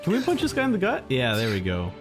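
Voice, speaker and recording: A man's voice: medium pitch, huge pitch swings, fast, music in the background. A man chatting casually and thinking aloud as a live streamer reacting to game trailers. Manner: rambling, informal, thinking aloud.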